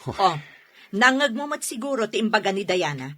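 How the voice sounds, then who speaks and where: Woman as hot-tempered mother, husky, sharp forceful tone, animated swings, emphatic, radio drama. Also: mid-range voice, moderate pace. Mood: bitter. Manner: scolding, emphatic. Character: aggrieved, hot-tempered mother of a large family. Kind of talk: radio drama acting in Ilocano.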